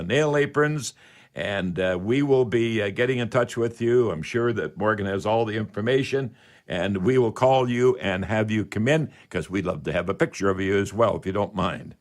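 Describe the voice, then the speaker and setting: An older man hosts a radio call-in show, chatting in a folksy, rambling way with old-fashioned expressions. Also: fairly low pitch. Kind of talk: casual home-improvement call-in radio talk.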